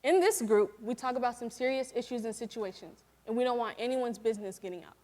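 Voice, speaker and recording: A woman's voice: fairly high pitch, a little mellow. Earnest eighteen-year-old girl presenter. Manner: earnest, instructive, addressing a group. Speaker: student presenter playing a group facilitator. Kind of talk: student presentation with a scripted role-play.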